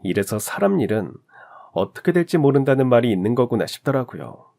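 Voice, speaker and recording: Calm man reading a heartfelt story. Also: medium pitch, brisk, creaky. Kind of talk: scripted narration of a listener's story.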